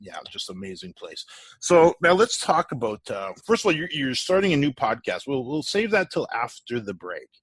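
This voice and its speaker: A middle-aged man hosting a podcast interview, speaking in an enthusiastic, measured way. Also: mid-range voice, big pitch swings, a bit bright, breathy edge, no echo. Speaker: podcast host.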